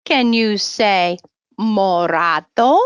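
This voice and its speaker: A woman reading a children's storybook aloud in a deliberate, instructive way, pausing to teach vocabulary to young listeners. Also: medium pitch, clean tone, no room sound, lo-fi sound. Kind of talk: bilingual read-aloud story with vocabulary prompts.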